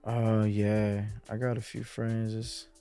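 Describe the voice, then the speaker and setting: A man's voice: on the low side, completely flat delivery, slow, warm tone, smooth. A man who grew up in Mexico City, a music producer talking as a guest in a casual sit-down interview, full of street slang and "you know" asides.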